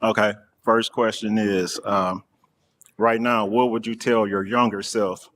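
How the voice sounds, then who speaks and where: A man in his forties, a dating show contestant, talking plainly with some halting restarts. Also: lowish voice, flat delivery, a bit bright. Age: 40s. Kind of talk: casual game show talk. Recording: faint background noise.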